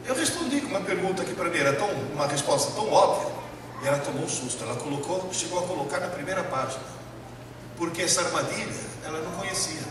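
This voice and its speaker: A man's voice: a little high, lively intonation, fast talker. A man from Italy with an Italian accent, a professor lecturing emphatically to a live audience and putting rhetorical questions to them. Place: large lecture hall. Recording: echoey hall, distant mic, noisy.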